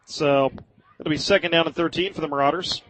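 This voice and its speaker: A man doing live radio play-by-play of high school football in short, clipped phrases. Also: steady tone, brisk, fairly bright tone, no room sound, rough recording. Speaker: play-by-play sports announcer.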